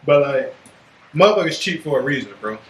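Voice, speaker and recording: A man's voice: medium pitch, lively intonation, brisk. A man in his twenties speaking as a podcast co-host, blunt, joking and slangy in casual chat. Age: 20s. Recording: roomy echo with faint background hiss.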